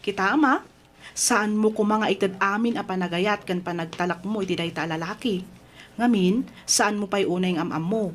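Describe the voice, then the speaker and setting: A woman playing a chatty young best friend in an Ilocano radio drama, teasing and animated. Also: medium pitch, normal speed, close to an everyday voice. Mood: concerned.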